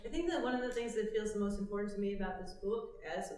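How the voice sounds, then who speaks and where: A woman's voice: mid-range voice, fast talker, a little mellow, recorded from a distance, a little hiss or hum. A woman moderates a panel in a mid-range voice, talking fluently with casual hedges. She stands away from the mic, and her voice rings around a very echoey hall. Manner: fluent, uses hedges like "kind of" and "you know". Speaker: event host, moderator. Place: lecture hall.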